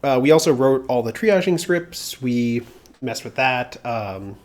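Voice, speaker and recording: A slightly congested man chatting casually on a live coding stream, thinking aloud with plenty of filler pauses, into a mounted mic at a home desk. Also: medium pitch, expressive. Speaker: livestreamer doing security research. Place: home desk with mechanical keyboard. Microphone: mounted desk studio mic.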